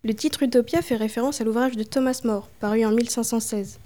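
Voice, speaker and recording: A female interviewer poses long, prepared questions at an even, deliberate reading pace. Her voice sits a little high but sounds soft and mellow, never sharp. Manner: formal, carefully read.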